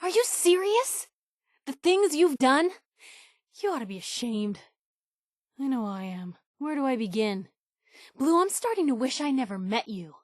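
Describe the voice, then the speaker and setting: A woman's voice: high voice, big pitch swings, measured pace, pauses often, dry recording, clean studio sound. An actress playing a spirited, nosy young woman reporter in a video game, loyal and outspoken, talking in a wry, colloquial way. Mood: angry, disappointed. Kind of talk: video game companion dialogue.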